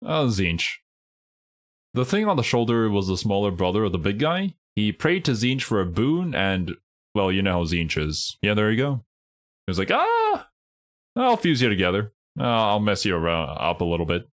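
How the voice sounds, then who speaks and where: Playfully exasperated man with swooping pitch. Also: very animated, moderate pace. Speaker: host reacting to game trailers with a friend. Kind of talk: casual livestream banter and commentary. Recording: music behind the voice. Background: music.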